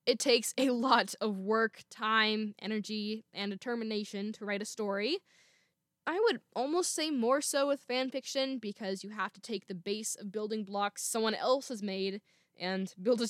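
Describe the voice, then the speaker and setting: A woman's voice: normal speed, dry recording, clean studio sound. A young woman hosting her podcast, reading from a script with small laughs now and then. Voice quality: naturally lower female voice. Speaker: podcast host. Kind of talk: scripted solo podcast talk. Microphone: home microphone.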